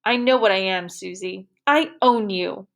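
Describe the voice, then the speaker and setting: A woman voicing an upset young girl who is tearful, pleading and quick to anger. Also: mid-range voice, gravelly. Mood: angry.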